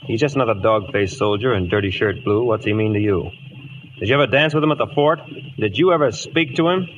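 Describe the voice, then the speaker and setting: An American actor playing a proud, sarcastic young cavalry lieutenant with clipped military speech in a 1950s radio drama. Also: male voice, lowish voice, a little fast, fairly bright tone, highs cut off, lightly acted. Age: young adult. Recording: noisy 1950s radio broadcast.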